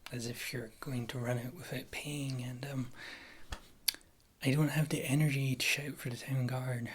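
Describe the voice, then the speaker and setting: A woman playing a wry witch healer and former adventurer in a fantasy ASMR roleplay, speaking in a soft, breathy whisper close to the microphone. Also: very deep voice, exaggerated voice. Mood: wry, bargaining. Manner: rambling, wry, gentle, unhurried.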